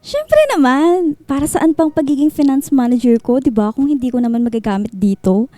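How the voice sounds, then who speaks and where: High-voiced bashful young Filipino woman. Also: animated, moderate pace, dark tone, no echo, soft background music. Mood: earnest, proud. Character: Ava, bashful, conscientious young female finance manager and charity volunteer. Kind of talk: Filipino radio drama acting.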